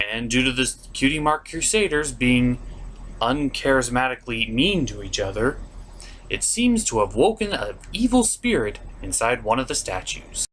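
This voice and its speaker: A man's voice: medium pitch, a bit bright, slight background noise. A man hosting a comedic review, narrating from a script with sarcastic, mock-exasperated asides. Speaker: host of a comedic cartoon review show.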